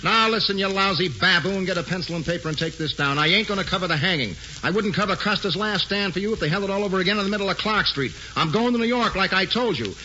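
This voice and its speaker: A brash, wisecracking newspaper reporter in a 1940s radio play. His tone is bright and sharp, his voice a little higher than most, and his pitch leaps all over. The old broadcast sounds a touch dull, with faint hiss. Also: big pitch swings, brisk, pauses often. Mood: scornful. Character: brash fast-talking male newspaper reporter. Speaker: lead actor.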